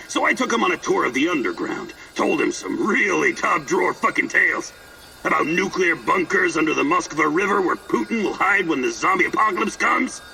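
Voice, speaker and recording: A man's voice: fairly high pitch, vocal fry, sharp tone, distant mic, a little hiss or hum, exaggerated voice, poor audio. An actor playing a manic, teasing, foul-mouthed eccentric man in a video game, telling rambling stories in a mocking, theatrical way. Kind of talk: video game character acting.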